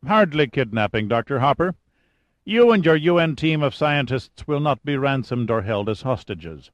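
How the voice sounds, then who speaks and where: A man voicing a calm, cold middle-aged male mine boss who threatens in a matter-of-fact way. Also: mid-range voice, dry recording, voice pushed up, natural voice. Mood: cool, controlled. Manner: measured, matter-of-fact menace.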